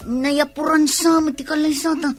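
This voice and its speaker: An actress playing a reluctant, upset young bride in an Ilocano radio drama, with an Ilocano accent. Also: female voice, high voice, flat delivery, normal speed, faint music behind, lightly acted. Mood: doubtful.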